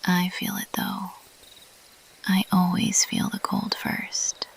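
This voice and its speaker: A woman voicing a mischievous, playfully elusive bat monster girl in a teasing, intimate, breathy whisper for an ASMR roleplay. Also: lowish voice, monotone. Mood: playful, coy. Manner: slow, teasing, intimate, lingering on pauses. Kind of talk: ASMR roleplay, addressed to the listener.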